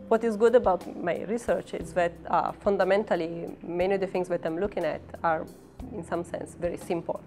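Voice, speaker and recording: Low-pitched Italian-accented woman, hesitant. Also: fairly even intonation, moderate pace, darkish tone, soft background music. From Italy. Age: 30s. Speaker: professor and research scientist. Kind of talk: interview talk about own work.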